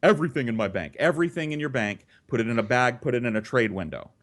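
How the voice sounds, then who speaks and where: A man's voice: medium pitch, quick pace. Casual, profane male storyteller. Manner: profane, animated re-enacting of conversations. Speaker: storyteller and host. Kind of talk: casual comedic storytelling with acted dialogue.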